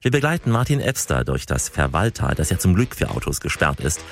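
A man's voice: extremely low pitch, sing-song, brisk, soft background music. A man from Germany, reporting and interviewing conversationally as a travel host.

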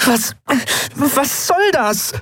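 A man's voice: high voice, animated, moderate pace, fairly bright tone, no room sound, studio quality, voice pushed up. A man voicing a headstrong, agitated young woman captain in an audiobook, with urgent protests. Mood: agitated, protesting.

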